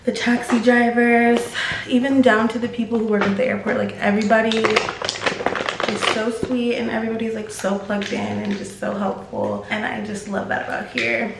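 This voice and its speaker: A woman's voice: fairly high pitch, little pitch movement, normal speed, gravelly, reverberant, noisy background. Chatty young woman vlogging on a phone. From United States. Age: young adult. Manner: chatty, swears freely, says "like" a lot. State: tired. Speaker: travel vlogger. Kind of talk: casual vlog to camera. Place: indoors in a vacation house. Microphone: phone camera at arm's length.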